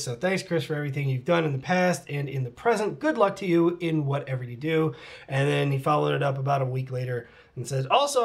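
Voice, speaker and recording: A middle-aged man at home, casually reading and answering fan comments, laughing often and dropping into muttered asides. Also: on the high side. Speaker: channel host answering fan questions. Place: at home with his cat.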